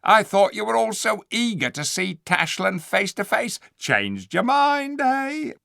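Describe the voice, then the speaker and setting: A man's voice: sits high, big pitch swings, measured pace, vocal fry, bright, forceful tone, no room sound, clean studio sound, pitched above the performer's own voice, full character voice. A man voicing a sly, bullying male ape who mocks and jeers at a crowd of animals. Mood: jeering. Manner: mocking, sneering.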